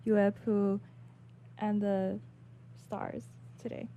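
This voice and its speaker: A woman's voice: mid-range voice, little pitch movement, drawn-out words, warm tone, dull audio, a little hiss or hum. A woman author reading her own short fiction aloud as a guest.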